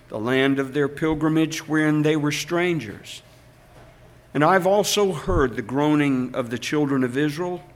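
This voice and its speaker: An older man, a pastor, reading scripture aloud and preaching a sermon in a slow, deliberate way with emphatic pauses. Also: medium pitch.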